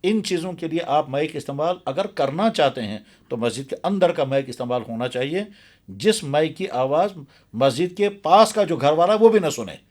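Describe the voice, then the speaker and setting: Earnest male cleric, slightly high voice. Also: expressive, moderate pace, a little mellow. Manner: earnest, emphatic, repeats phrases for stress. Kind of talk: explanatory religious talk in an interview.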